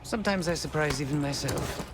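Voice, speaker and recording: An actor playing an East German defector, a former enforcer turned tech expert, with a German accent and a measured, drily polite delivery. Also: male voice, on the high side, noisy background, lightly acted. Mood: calm, wry. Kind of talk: video game cutscene acting.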